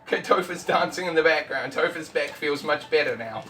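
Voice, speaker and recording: Loud, high-pitched boisterous man hosting. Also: fairly quick, soft background music. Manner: boisterous, teasing. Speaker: host. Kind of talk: casual vlog banter. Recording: distant mic, faint background noise.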